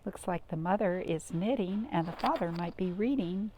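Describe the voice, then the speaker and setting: A woman's voice: lowish voice, normal speed, warm tone, a little hiss or hum, rough recording. A woman hosting a children's storytime, chatting and reading aloud, often putting simple questions to her young listeners. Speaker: library storytime host and reader. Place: at home, in a cottage.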